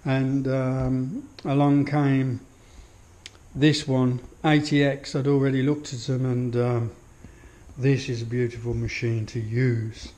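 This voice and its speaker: A man giving a relaxed walkthrough of his home gym, admiring each piece of kit with many pauses and fillers, recorded on a handheld action camera in a small indoor room. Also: mid-range voice. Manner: unhurried, full of fillers, admiring. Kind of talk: casual walkthrough commentary. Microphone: action camera held while walking.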